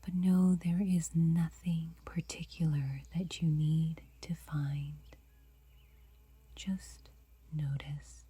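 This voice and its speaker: A woman guiding a meditation in a soft, breathy whisper, unhurried and gentle, leaving long pauses. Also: low-pitched, flat delivery, no echo. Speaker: meditation guide.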